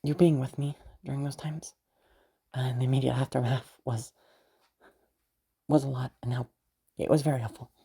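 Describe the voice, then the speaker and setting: Low, creaky young noblewoman mage, acted by a woman. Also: fairly even intonation, mellow, full character voice. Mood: earnest, protective. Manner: halting, stammering, giggly, mild swearing. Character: flustered, affectionate young noblewoman mage, protective of her knight. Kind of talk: intimate roleplay audio drama.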